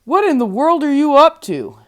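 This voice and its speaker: A big-hearted storybook donkey, performed by a woman, eager to lead his friends. He speaks up loudly and emphatically, in a hearty, lifted voice. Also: high-pitched, big pitch swings, normal speed, voice pushed up. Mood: exasperated. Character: sturdy male donkey, eager friendly ringleader.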